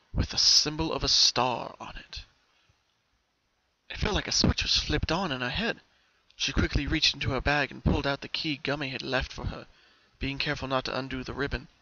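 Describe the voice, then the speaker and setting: A man's voice: medium pitch, pushed and bright, highs cut off. A man narrating a story from a script as a dramatic reading.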